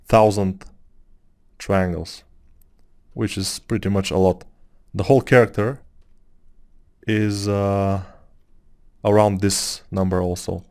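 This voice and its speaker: A man from Bulgaria, around his thirties, with a Bulgarian accent and a slightly low voice. He talks a 3D sculpting tutorial through in a loose, musing way, drifting off topic and filling pauses as he works. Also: a little mellow. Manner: rambling, musing, with many fillers. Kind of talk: casual tutorial talk over screen work.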